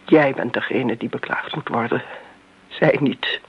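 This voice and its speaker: A woman's voice: a bass voice, a little slow, husky, heavily acted. An actress playing a bitter, middle-aged daughter who defends her mother in a radio play, pointed and reproachful in manner. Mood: accusing, defensive. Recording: muffled old radio recording, background hiss.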